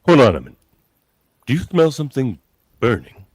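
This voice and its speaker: A man playing a brisk, commanding older starship captain in an amateur radio play, with theatrical emphasis. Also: mid-range voice, very animated, slightly rough, no echo. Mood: brisk, casual.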